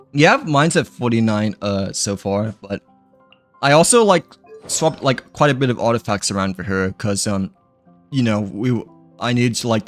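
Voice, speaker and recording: Man, livestreamer, casual rambling chat, giggly, slightly dry tired throat, average pitch. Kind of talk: casual game stream chat.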